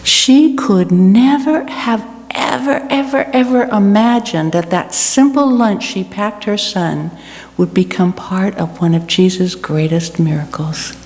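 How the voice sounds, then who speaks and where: A woman giving a church reflection as a guest speaker, deliberate, with pauses and rhetorical repetition. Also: mid-range voice, a little mellow. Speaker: guest speaker for a food charity. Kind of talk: church reflection, delivered as a speech. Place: large church room. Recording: echoey hall with background noise and hum.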